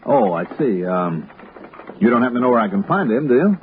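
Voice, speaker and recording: An actor playing an American insurance investigator, game but wary, in a 1960s radio drama. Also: male voice, medium pitch, sing-song, a little fast, slight background noise. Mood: curious, polite. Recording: muffled vintage radio recording.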